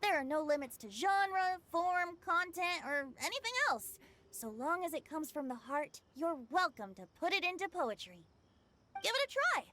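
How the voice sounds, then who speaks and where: A woman's voice: very high voice, sing-song, moderate pace, sharp tone, exaggerated voice. A woman voicing a breezy, teasing boy bard in a video game, light and theatrical in delivery. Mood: breezy, encouraging. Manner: playful, theatrical flourishes.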